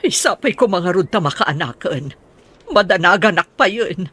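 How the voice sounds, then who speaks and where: A woman's voice: lowish voice, lively intonation, normal speed, sharp tone, breathy edge, heavily acted. A woman playing an exasperated middle-aged mother, nagging and complaining melodramatically in an Ilocano radio comedy-drama. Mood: worried, grumbling.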